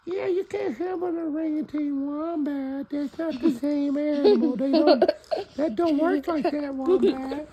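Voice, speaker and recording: A man's voice: very high voice, fairly even intonation, unhurried, dull audio, over a noisy crowd. A man voicing an eager little puppet critic who chatters and repeats himself in a folksy improvised puppet show. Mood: dismayed, scolding.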